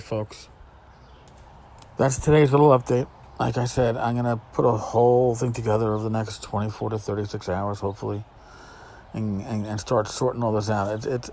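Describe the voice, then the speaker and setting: Blunt, low-pitched middle-aged man. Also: darkish tone, a little hiss or hum. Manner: blunt, sarcastic, profane. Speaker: podcast host. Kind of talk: casual spoken podcast monologue.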